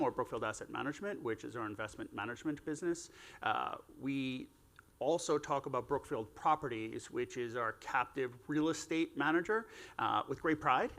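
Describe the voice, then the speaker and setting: A man speaking as a guest on a conference panel, relaxed and loose, with lots of "uh"s and wry self-deprecating asides. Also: medium pitch, vocal fry. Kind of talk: casual panel talk. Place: conference stage.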